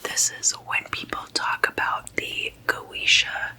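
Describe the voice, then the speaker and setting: A woman whispers in an ASMR style, breathy and unvoiced, with a crisp, very bright hiss to her words. She takes her time, pacing each phrase slowly and deliberately. Her delivery is casual and chatty, like sharing gossip at a sleepover. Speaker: ASMR host.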